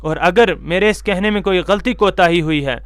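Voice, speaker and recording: A male cleric delivers religious guidance in a high-pitched, clear voice. His manner is formal and instructive, stressing points by repeating them. The sound is close and dry, with very little room in it. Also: moderate pace, a bit bright, no echo. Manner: formal, instructive, emphatic repetition. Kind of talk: religious lecture to camera.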